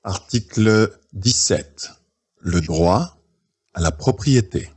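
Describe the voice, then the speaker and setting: A man presenting in a casual, playful way, teasing his audience and announcing each item deliberately. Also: low voice, lively intonation, husky.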